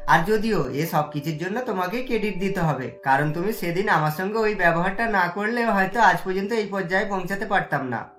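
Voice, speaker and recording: A woman's voice: medium pitch, lively intonation, a little fast, a bit bright, close to an everyday voice. Cartoon role: humble, sincere young man played by a woman, roomy sound with faint music. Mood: proud, reproachful. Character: earnest young man, humble pizza delivery worker turned owner. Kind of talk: cartoon story dubbing. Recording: roomy echo, music bed.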